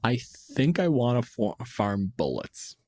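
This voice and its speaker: A man's voice: medium pitch, big pitch swings, takes it slowly, darkish tone, no echo. A man chatting casually as a podcast host, riffing on game monsters in a dry, blunt way and swearing freely. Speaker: podcast host answering listener questions.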